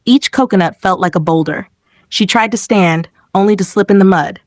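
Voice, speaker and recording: A woman's voice: medium pitch, normal speed, dry recording. Female storyteller for scripted dramatic folktale narration, dry intimate recording.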